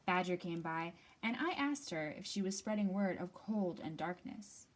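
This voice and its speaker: A woman hosting a library storytime, reading a picture book aloud expressively with deliberate, dramatic pauses. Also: lowish voice, animated, slight background noise.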